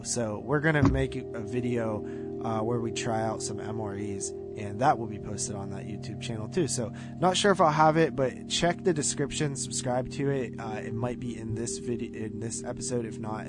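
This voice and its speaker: Casual male podcast host over guitar music. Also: medium pitch. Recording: music behind the voice.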